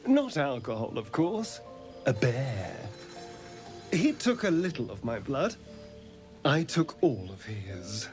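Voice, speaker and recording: An actor playing a sardonic, vain vampire in a video game, theatrical, arch and drawling. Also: male voice, medium pitch, big pitch swings, slow pace, long pauses, darkish tone, faint music behind. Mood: smug, amused. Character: sardonic, vain male vampire, newly free and power-hungry.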